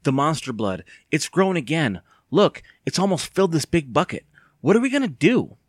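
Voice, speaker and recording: Guy doing a panicky whiny kid for an audiobook, sarcastic and impatient, pitch jumps all over. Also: very animated, brisk, fairly bright tone, dry recording, studio quality. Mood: urgent, eager. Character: anxious, impatient, panicky young boy. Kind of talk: audiobook character voice.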